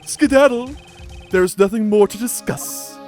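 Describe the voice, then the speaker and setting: A man's voice: high voice, unhurried, darkish tone, faint music behind, pitched above the performer's own voice. A man voicing a smug, entitled corporate villain for a video game, pompous and theatrical with flowery made-up words. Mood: menacing, sneering. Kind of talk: video game character voice-over.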